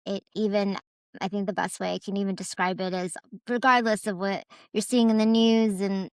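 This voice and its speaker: A woman's voice: medium pitch, flat delivery, normal speed, clear, resonant tone, no echo. A young adult woman, born in Iran and raised in the US, talking earnestly as a podcast guest, with many fillers and restarts. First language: Farsi. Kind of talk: casual conversation.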